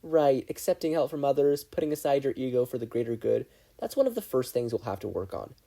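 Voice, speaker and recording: A smug, superior conscience speaks through a podfic reader, brisk and sarcastic. The pitch lifts and swoops, and the tone stays soft-edged and slightly husky as it lectures. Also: lively intonation. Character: smug, bossy inner-conscience voice, needling and superior.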